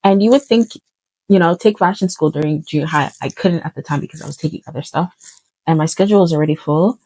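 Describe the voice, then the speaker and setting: A woman's voice: low-pitched, fast talker, dark tone, no echo, low-quality recording. A woman, chatting casually in a vlog about her path into fashion design, with lots of fillers and self-corrections. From Uganda. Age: 20s. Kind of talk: casual vlog storytelling while doing makeup.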